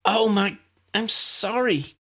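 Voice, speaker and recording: A man's voice: high voice, slow, bright, forceful tone, pitched above the performer's own voice, exaggerated voice. A man voicing an earnest young witch in a fantasy story, given to heartfelt exclamations. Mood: panicked, apologetic.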